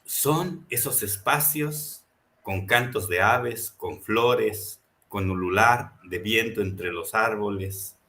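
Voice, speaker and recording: A man's voice: fairly low pitch, slow pace, pushed and bright. A man presenting a book in a reflective, impassioned talk, building his points through rhetorical repetition. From Mexico.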